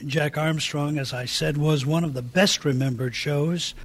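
A man's voice: a little high, fairly even intonation, measured pace. A man hosting a radio program, introducing segments in scripted narration. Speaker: radio show host.

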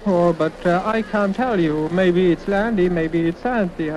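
A young man, an athlete being interviewed, speaking in a hesitant, halting way. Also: sits high, darkish tone. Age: young adult. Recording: muffled archival interview, faint noise.

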